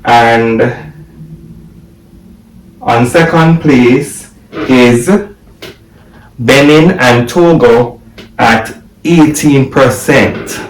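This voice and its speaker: A young man from Jamaica, talking excitedly to camera from a home office and repeating the numbers he reads out. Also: mid-range voice, drawn-out words, long pauses, far from the mic. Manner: excited, exclaiming, repeating figures. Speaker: vlogger. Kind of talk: casual video commentary. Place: home office room, indoors. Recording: echoey room, voice from afar.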